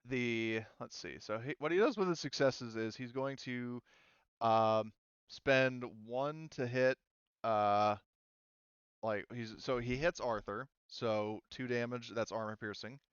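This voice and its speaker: A man running a tabletop roleplaying game as game master, explaining rules and thinking aloud in a halting way. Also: mid-range voice, clean tone, dry recording, dull audio.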